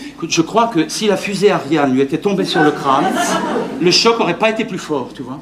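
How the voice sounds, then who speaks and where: An actor playing an exasperated, scheming husband in a theatrical French farce, sarcastic and emphatic. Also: male voice, a little high, lively intonation, a little fast, over a noisy crowd, lightly acted. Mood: exasperated, confiding. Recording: echoey stage, noisy background.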